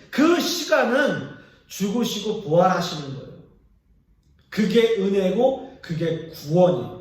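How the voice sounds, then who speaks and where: A male pastor preaching a sermon, his voice high and full of lively rises and falls. He speaks with earnest pauses, lifting some lines and dropping others to a hush. He sounds set back from the mic in a church sanctuary, where the voice rings with a heavy echo. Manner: earnest preaching with pauses, swinging between raised and hushed lines. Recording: echoey church hall from a distance.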